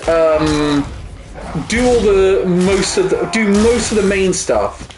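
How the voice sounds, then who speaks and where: A man's voice: sits high, animated, slow, roomy sound, background noise. A man in his thirties chatting casually over a video game, rambling, swearing freely and telling jokes. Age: 30s. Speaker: co-host gaming. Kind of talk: casual chat over gameplay.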